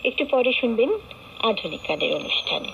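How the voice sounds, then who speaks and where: Lively higher-pitched West Bengal woman radio announcer. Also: normal speed, gravelly, lo-fi sound. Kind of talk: scripted programme schedule announcement. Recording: noisy shortwave radio broadcast.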